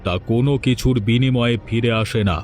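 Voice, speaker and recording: A man's voice: medium pitch, fairly even intonation, fairly quick, a little mellow, natural voice. A man playing a stern, proud holy man who hands down curses in a moral-tale cartoon, speaking in solemn, scolding pronouncements. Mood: stern, haughty.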